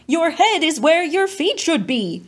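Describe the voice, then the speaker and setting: Exasperated storybook dad done by a woman: stern, scolding, firm, with swooping pitch. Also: very animated, unhurried, exaggerated voice. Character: stern, exasperated father scolding his young son. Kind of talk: children's storybook reading.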